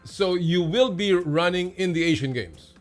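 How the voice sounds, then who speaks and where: A Filipino man hosts a conversational interview in a fairly high voice. He feels his way into questions, repeating words. Soft music runs underneath. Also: expressive, measured pace. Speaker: talk show host.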